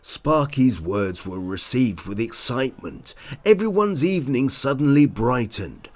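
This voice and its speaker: Male storybook narrator, mid-pitched, very expressive intonation, unhurried theatrical delivery of children's rhymes. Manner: theatrical, deliberate. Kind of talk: rhyming children's story read-aloud.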